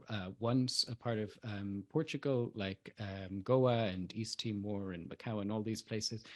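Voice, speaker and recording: A man hosting a webinar, asking questions in a relaxed way with many ums and quick acknowledgements over a video call. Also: fairly low pitch. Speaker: webinar host. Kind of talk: interview questions.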